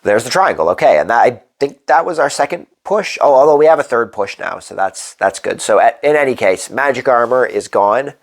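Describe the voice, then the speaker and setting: A man's voice: medium pitch, lively intonation, a little fast, few pauses. A congested man hosting a solo board game playthrough, thinking aloud as he works through the rules and dice rolls. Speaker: board game channel host.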